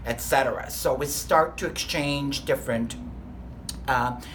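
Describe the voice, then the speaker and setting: A man's voice: mid-range voice, a little slow, fairly bright tone, a little hiss or hum. Bulgarian-accented man, earnest and enthusiastic. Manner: earnest, enthusiastic, with a few self-corrections. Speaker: restaurant lead trainer, interview guest. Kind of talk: workplace interview.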